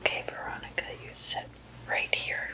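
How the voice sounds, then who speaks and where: A woman hosting ASMR, speaking informally in a soft, breathy, unvoiced whisper with a deliberate, gently playful manner. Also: rough recording. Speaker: ASMR video host.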